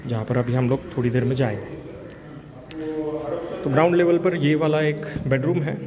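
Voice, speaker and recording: A man's voice: mid-range voice, fairly even intonation, moderate pace, lo-fi sound, a crowd in the background. A man walking through an empty house, casually pointing out each room for a property tour video. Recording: phone video with clear room noise.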